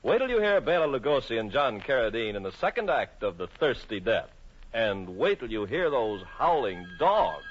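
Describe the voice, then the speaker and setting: Animated male horror radio host, muffled sound. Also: mid-range voice, normal speed, slight background noise. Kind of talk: theatrical scripted announcing, old-time radio. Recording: dull old radio broadcast. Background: music.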